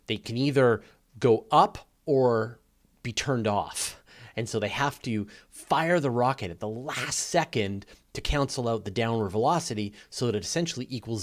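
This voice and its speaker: Male host for a live Q&A, fairly low voice, conversational explanatory delivery with emphatic stresses. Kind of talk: casual explanatory live Q&A talk.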